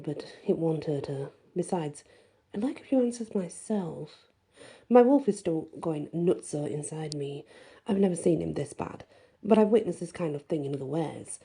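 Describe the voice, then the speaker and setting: A woman's voice: low voice, dark tone, slightly rough. A woman storyteller reading scripted first-person fantasy narration in an ASMR style.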